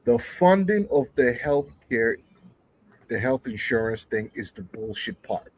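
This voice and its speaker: A man's voice: mid-range voice, sing-song, a little slow, a little hiss or hum, rough recording. A man from New York in a casual group discussion, rambling, correcting himself and arguing his point. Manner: rambling, self-correcting, argumentative.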